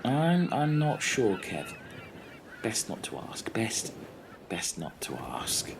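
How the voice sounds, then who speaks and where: A man's voice: lowish voice, huge pitch swings, slow pace, creaky, full character voice. A man playing a hesitant, polite, bumbling castaway who repeats himself, in a comic radio play. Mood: bemused. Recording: noisy outdoor ambience with birds.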